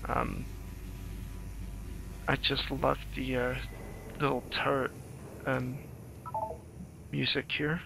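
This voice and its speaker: A man improvising playthrough commentary on a video game, thinking aloud in a hesitant, halting way with a gravelly voice. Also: medium pitch, little pitch movement, noisy background. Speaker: screenwriter doing game commentary. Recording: game music behind the voice. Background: video game music.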